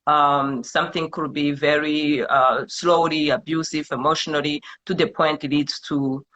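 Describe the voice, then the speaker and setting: A woman's voice: sits low, fairly even intonation, normal speed, a bit bright, highs cut off. A woman with a Rwandan accent, a mental health professional explaining things conversationally as a guest. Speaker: psychiatric nurse practitioner, guest.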